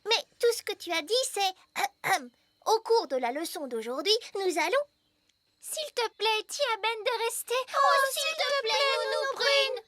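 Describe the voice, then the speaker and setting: Little cartoon fairy girl: extremely high, bright, earnest and childlike, slight background noise. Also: lively intonation, a little slow, heavily acted. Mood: pleading. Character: eager, polite little fairy princess girl. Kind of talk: French-language cartoon dubbing.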